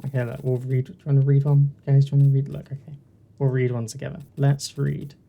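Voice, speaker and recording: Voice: mid-range voice, flat delivery, quick pace, vocal fry, narrow, tinny audio. A video game host chatting casually through a playthrough in a rambling, self-deprecating way, on a poor-quality home mic. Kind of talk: casual commentary while playing a game.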